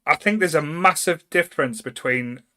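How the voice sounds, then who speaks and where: A man's voice: fairly high pitch, normal speed, bright, forceful tone. A man with a North East English accent chatting casually as a podcast host, blunt, sweary and jokey.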